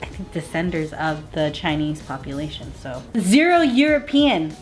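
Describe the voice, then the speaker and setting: Low-pitched, playful woman chatting over music. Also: steady tone, moderate pace. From Vietnamese family. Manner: playful, teasing. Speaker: vlog co-host. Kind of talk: casual chat, reading results aloud.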